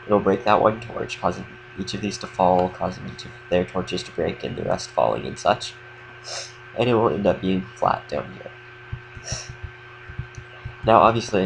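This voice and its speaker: A young man with a slight cold, casually explaining a game build in a relaxed, rambling way with lots of fillers. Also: deep voice, gravelly, slight background noise, low-quality recording. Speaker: game tutorial creator. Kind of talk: casual gameplay tutorial commentary.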